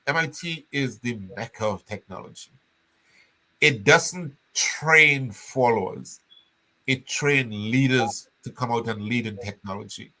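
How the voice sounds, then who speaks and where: An older man from Trinidad, telling his story as an interview guest with animated restarts. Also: medium pitch, poor audio.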